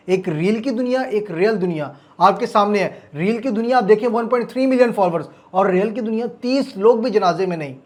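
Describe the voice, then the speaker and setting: A man's voice: sits high, a little mellow. A man with a Pakistani accent hosting a crime news report, speaking dramatically and emphatically, repeating key phrases for suspense. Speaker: host of a crime news channel.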